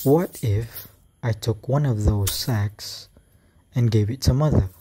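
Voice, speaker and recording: A man's voice: medium pitch, slow pace, mellow, slightly rough. A man playing a mischievous but polite young boy in an amateur radio drama. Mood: scheming.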